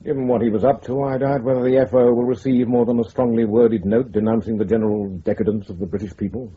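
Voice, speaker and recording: An actor playing a measured, authoritative intelligence chief with a husky voice, speaking formally in a radio drama. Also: male voice, mid-range voice, fairly even intonation, close to an everyday voice. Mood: dry. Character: measured, authoritative senior spymaster, calmly diplomatic superior. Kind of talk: broadcast radio drama acting. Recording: muffled radio drama recording.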